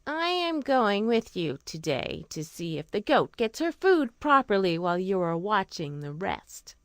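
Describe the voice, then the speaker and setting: A woman's voice: medium pitch, sing-song, measured pace, fairly bright tone, no room sound, clean studio sound. A woman voicing a scheming, nosy sister in a children's fairy tale, with a suspicious manner. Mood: feigned casualness.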